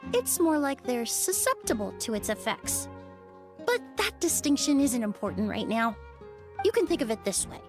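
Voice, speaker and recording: A woman's voice: high voice, sing-song, normal speed, over music. A woman voicing a composed, clever detective in a video game, articulate and assured as she explains. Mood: measured. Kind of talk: scripted video game dialogue.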